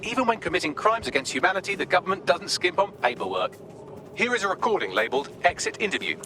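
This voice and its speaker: Sardonic AI sidekick for a game: witty, higher-pitched, very animated, music behind. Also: male voice, fast. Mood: dry sarcasm. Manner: dry, sarcastic, chatty. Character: witty sardonic male AI assistant. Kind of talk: video game voice acting.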